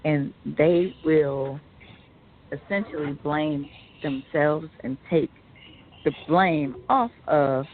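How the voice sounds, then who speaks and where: A woman co-hosting a podcast, giving opinions in a casual, deliberate, emphatic way on a muffled, noisy recording. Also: low-pitched. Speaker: podcast co-host.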